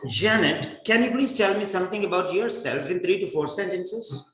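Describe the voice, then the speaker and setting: A man's voice: sits high, fairly bright tone, echoey room, a little hiss or hum. A man in his fifties from India with an Indian English accent, whose first language is Telugu, coaching an online speaking class and calling on students by name. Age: 50s. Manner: brisk, calls names, repeats questions. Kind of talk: online class practice interview.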